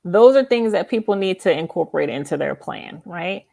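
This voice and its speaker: Woman, talk show host, gravelly creaky voice, conversational, emphatic. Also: lowish voice, animated, normal speed, mellow. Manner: emphatic, frequent check-in questions like "right?". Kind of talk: conversational book interview.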